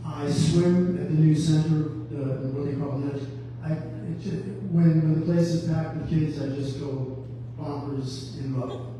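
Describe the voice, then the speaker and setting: A man's voice: fairly high pitch, steady tone, measured pace, hardly any pauses, dark tone. Rambling man in an echoey hall. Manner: rambling, effusive, jumps between thoughts. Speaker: school board candidate. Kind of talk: candidate forum self-introduction. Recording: echoey hall from far off, faint hum.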